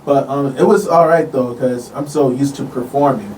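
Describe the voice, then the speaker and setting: A man's voice: medium pitch, moderate pace, a little mellow. An American man chatting casually as an interview guest, laid-back and peppering his talk with "you know". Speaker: guest, local performer. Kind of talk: casual chat. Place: radio studio. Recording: distant mic with faint room noise.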